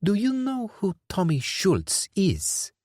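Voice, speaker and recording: Man doing a sneering crime boss, high voice, slow deliberate questions, mocking, dry close sound. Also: wildly swooping pitch, darkish tone, no echo, exaggerated voice. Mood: sneering, cold. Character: sneering, menacing male crime boss, cold and sarcastic.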